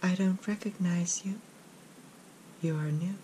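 A man voicing a menacing, accusing horror game robot in a drawn-out sinister whisper. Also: high-pitched, steady tone, mellow, a little hiss or hum, voice pushed up. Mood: eerie, accusing.

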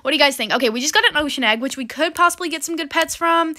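A bubbly young woman with a high, bright voice, her nose a little stuffed up. She chatters quickly through gameplay commentary, filling every pause with "um" and "oh my gosh". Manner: chatty, full of fillers and "oh my gosh". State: stuffy nose, slightly losing voice. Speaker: gaming video creator.

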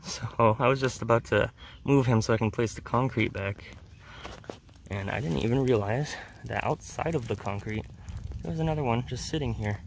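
A man giving casual, low-key narration as a wildlife vlogger filming outdoors from behind the camera. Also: moderate pace, vocal fry. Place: outdoors in woods and grassland. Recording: outdoor ambient noise.

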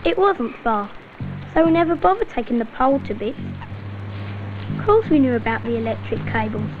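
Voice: mid-range voice, big pitch swings, moderate pace, lightly acted. An actor playing a shaken British child, plainly recounting a friend's accident as a safety-film voice-over. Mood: regretful. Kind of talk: acted first-person voice-over in a safety film. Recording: dull old film sound over music.

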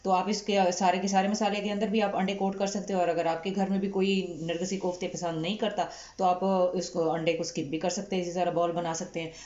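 A woman cooking-channel host explaining a home recipe step by step in a calm, unhurried way with frequent fillers. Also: on the low side, dull audio. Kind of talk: recipe instruction over cooking footage. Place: home kitchen.

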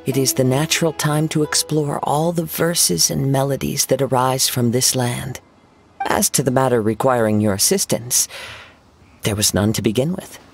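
An actor playing a gentle, poetic young wandering samurai in a video game, measured and formal in his words. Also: medium pitch, vocal fry, soft background music, natural voice. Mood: serene. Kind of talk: video game cutscene dialogue.

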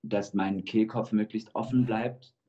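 Low-voiced Georgian-born man on a video call. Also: steady tone, dark tone. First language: Russian. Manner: thoughtful, measured explanations. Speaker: guest singer. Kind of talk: interview over video call. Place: indoor room with plain wall. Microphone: video call webcam. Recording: dull lo-fi video call audio.

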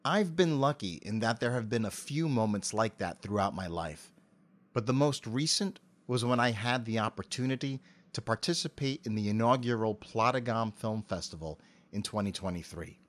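A middle-aged man with an articulate, measured delivery, giving prepared interview answers into a close cardioid mic. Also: fairly low pitch, animated. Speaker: interview guest, amateur voice actor. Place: home office. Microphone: cardioid dynamic mic with pop filter, on a stand.

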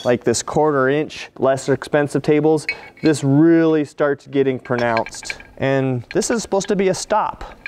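A man's voice: fairly high pitch, a little mellow, a little hiss or hum. Plainspoken man demoing shop tools. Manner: direct, plainspoken, enthusiastic about his tools. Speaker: tool maker demonstrating his products. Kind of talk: hands-on product demonstration. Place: metal fabrication shop at a fixture table.

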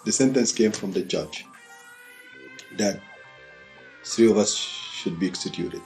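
Low-voiced middle-aged Sudanese man over music. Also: darkish tone. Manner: deliberate, short phrases with pauses. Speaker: testimony speaker. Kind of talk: personal testimony told to camera. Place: quiet furnished room with fireplace and bookshelves. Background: background music.

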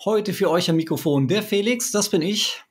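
Male podcast host, 30s, husky, playful, headset on a video call. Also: sits high, normal speed. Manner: jokey, laughs often, reads sponsor spots. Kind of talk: casual podcast chat.

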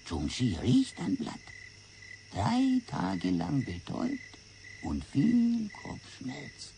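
Slow, creaky Chinese sage. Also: male voice, on the high side, wildly swooping pitch, dark tone, slight background noise, full character voice, poor audio. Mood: solemn storytelling. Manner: broken syntax, swapped r and l, deliberate storytelling. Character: Chinese sage-like man telling an old fable, solemn. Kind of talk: radio play acting.

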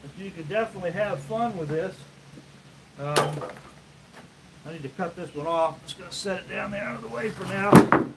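A man's voice: sits high, normal speed, pauses often. A man in his fifties chatting casually and unhurriedly to viewers as he works in an open outdoor sawmill shed, explaining as he goes. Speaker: host, sawmill woodworker. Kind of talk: casual live vlog while working. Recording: outdoor workshop noise, varying distance from mic.

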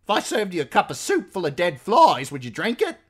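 A sharp-tongued, middle-aged innkeeper woman, acted out by a man for a storybook. The voice is pushed well up and bright, with big swings in pitch. The manner is scolding, rustic and blunt. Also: huge pitch swings, brisk, slightly rough, heavily acted. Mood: commanding, blunt. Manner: scolding, rustic folksy speech.

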